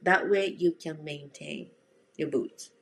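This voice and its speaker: A woman's voice: deep voice, slow pace, creaky. A woman from Japan with a Japanese accent, giving casual, direct instruction as a home organizing coach and speaking to the listener in an encouraging, plain-spoken way.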